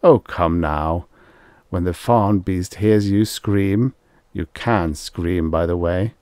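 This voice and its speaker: A man's voice: sits low, slow, darkish tone, clear, resonant tone, no echo, studio quality. A man voicing an imperious big-game hunter in a science fiction story, commanding, amused and genially menacing. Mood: amused menace.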